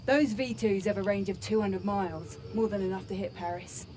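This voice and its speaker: A woman's voice: mid-range voice, brisk, a little mellow, slight background noise, close to an everyday voice. A woman playing a cool, seasoned special forces agent in a war game. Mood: matter-of-fact.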